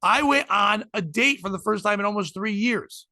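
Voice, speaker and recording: High gravelly man in his forties. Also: moderate pace, pushed and bright, no room sound, studio quality. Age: 40s. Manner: candid, rambling, swears freely. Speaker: podcast host. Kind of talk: casual chat.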